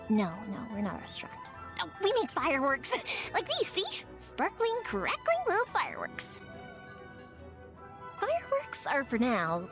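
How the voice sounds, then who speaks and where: Bubbly young firework maker girl, high-pitched, very animated, playful, talkative, video game character. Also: full character voice. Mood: bright, welcoming. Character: cheerful, chatty young woman who makes fireworks, warm and playful.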